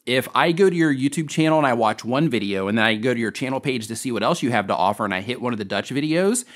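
A man in his forties, hosting a scripted question-and-answer tutorial to camera in a small dark studio. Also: mid-range voice, fast. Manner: upbeat, lively, emphatic explainer. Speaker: host.

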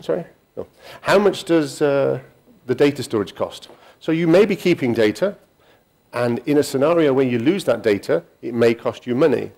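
A middle-aged man giving a technical conference talk in a hall, pausing often with ums and restarting sentences. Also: medium pitch, vocal fry, darkish tone. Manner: halting, many ums and restarts. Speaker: conference speaker.

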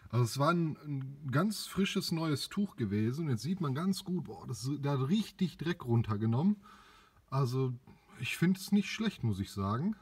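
A young man hosting a casual product review in his home garage, relaxed and chatty with frequent fillers. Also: medium pitch, moderate pace, slightly rough. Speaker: car care channel host. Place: small home garage, indoors.

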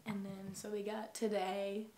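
A woman's voice: completely flat delivery, unhurried, darkish tone, a little hiss or hum. Chatty young woman with a light voice. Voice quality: naturally higher voice. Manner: chatty, thinking aloud with many fillers. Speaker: singer-songwriter. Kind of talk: casual songwriting vlog, talking and singing. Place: small bedroom with string lights. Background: her own acoustic guitar playing.

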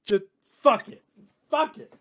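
A woman bantering casually on a livestream in an exclamatory, sweary way. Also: measured pace.

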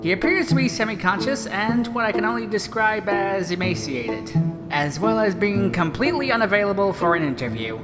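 A woman playing an excitable, chipper pony weather reporter in a comedic audio drama, chatty and theatrical with nervous hesitations. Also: medium pitch, a little fast, over loud music, close to an everyday voice. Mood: awkward, hesitant.

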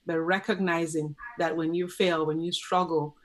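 A middle-aged woman with a Cameroonian accent, speaking as a parenting educator in a video call interview, earnest and measured. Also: fairly low pitch, flat delivery, poor audio. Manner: earnest, measured, lists points steadily. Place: home office indoors. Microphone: video call webcam.

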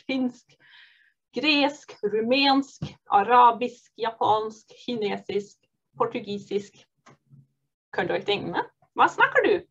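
A woman teaching an online beginner Norwegian course, cheerful and encouraging, modelling phrases slowly and clearly. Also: a little high, lo-fi sound. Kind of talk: online beginner language lesson.

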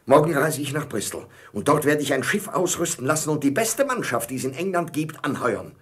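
An actor playing an enthusiastic, self-assured baron with a husky voice and grand, emphatic manner in a German radio play. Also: male voice, a little high, sing-song, fairly quick, fairly bright tone, exaggerated voice. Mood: excited, grand.

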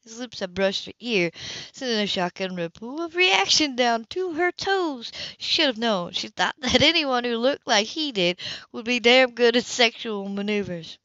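A woman's voice: a little high, expressive, normal speed, sharp tone, no room sound. A woman reading a romance novel aloud as an amateur narrator, now and then stumbling over a word. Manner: occasional stumbles over words. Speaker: amateur audiobook reader. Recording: dull, lo-fi home recording.

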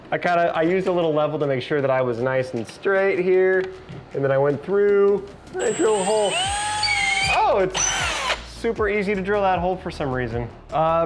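A man in his thirties hosting a casual how-to video, chatty and joking, filmed in a garage and outside a house. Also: high voice. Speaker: DIY video host. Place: garage and outdoors beside a house.

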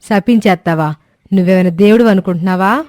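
A woman's voice: medium pitch, fast, a little mellow, no room sound, faint music behind, lightly acted. A woman voicing an irritable, bossy middle-aged village mother-in-law in a cartoon story, scolding and sarcastic. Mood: irritated. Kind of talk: cartoon moral-story voice acting.

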